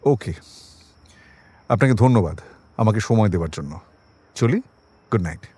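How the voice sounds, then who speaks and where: An actor in an audio drama playing a composed police detective who questions people politely but with quiet suspicion. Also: male voice, lowish voice, animated, a little slow, slightly rough, dry recording. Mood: probing, composed.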